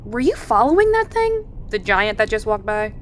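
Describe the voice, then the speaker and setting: A woman's voice: sing-song, normal speed, close to an everyday voice. An actress playing a wounded, wary and stubborn female survivor in a naturalistic audio drama. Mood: strained, wry. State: wounded, sighing. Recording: faint low rumbling background noise.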